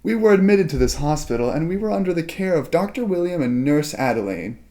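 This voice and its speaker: Man playing a mellow, swooping, playful ghost twin. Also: fairly high pitch, sing-song. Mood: dreamily fascinated. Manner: teasing, theatrical, drawn-out phrasing. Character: playful, sinister young ghost twin, romantic, yandere. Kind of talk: ASMR roleplay drama.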